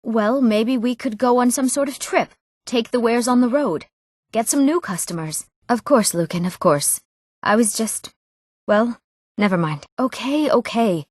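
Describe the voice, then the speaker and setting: An actress playing an eager, earnest young townswoman in a fantasy video game, speaking her scripted lines expressively and clearly. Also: a little high, a little slow, no echo, studio quality. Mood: eager, hopeful, then deflated.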